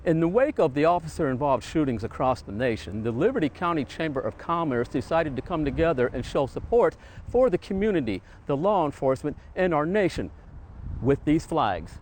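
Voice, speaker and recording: A man's voice: a little high, big pitch swings, brisk, a little mellow, a little hiss or hum. A man reading scripted local broadcast news as a reporter.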